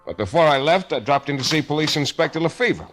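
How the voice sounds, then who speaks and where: An actor playing a wry, cocky, hard-boiled private detective in an old-time radio drama. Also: male voice, a little high, animated, fast, a bit bright, faint music behind. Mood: wry narration. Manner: wry, sardonic.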